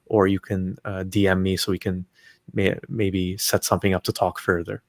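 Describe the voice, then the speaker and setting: A man's voice: deep voice, flat delivery, moderate pace, no echo, clean studio sound. A man from Palestine hosting a live call-in stream, chatting casually with callers, friendly and hesitant with many fillers.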